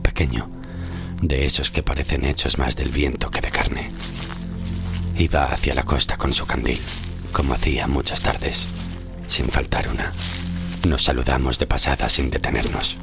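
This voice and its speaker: An actor from playing a haunted, melancholy shipwrecked sailor who tells his tale in a literary horror audio drama, slow and solemn. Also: rumbling low, fairly even intonation, gravelly. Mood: brooding, melancholy. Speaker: narrator and lead character. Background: music under the voice.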